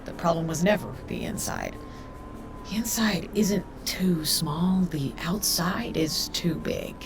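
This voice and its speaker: A woman's voice: fairly low pitch, wildly swooping pitch, slow, over music, exaggerated voice. An actress playing an obsessive, analytical woman scientist in an audio drama, precise and prone to swearing. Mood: dazed, fixated.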